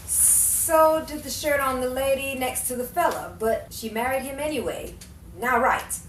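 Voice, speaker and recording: A woman acting a bossy, quick-witted older friend in a 1950s Hollywood-style audio drama, brisk and insistent as she encourages. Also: sits high. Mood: coaxing. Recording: roomy, distant mic, faint background noise.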